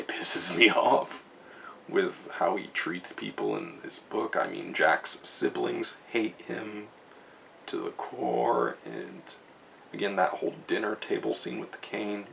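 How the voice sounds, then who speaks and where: A young man with a low, heavily gravelly voice gives a loose, rambling review, full of "like" and "just" and restarted words. He sits some way back from the mic, with a faint hiss underneath. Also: fairly bright tone. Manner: rambling, casual, lots of fillers and restarts; chuckles often while talking. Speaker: book reviewer. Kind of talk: casual spoken book review. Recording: distant mic, faint background noise.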